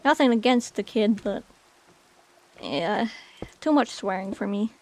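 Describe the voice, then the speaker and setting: A woman's voice: mid-range voice, animated, a little slow, a little mellow, a little hiss or hum. A woman in her late twenties chatting casually with viewers on a game stream, rambling with many fillers. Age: 20s. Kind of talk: casual chat with chat while gaming.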